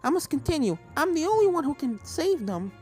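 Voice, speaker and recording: Young storybook filly played by an adult reader, higher voice, brave and earnest, anxious edge, music underneath. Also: big pitch swings, brisk, a little mellow. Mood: determined.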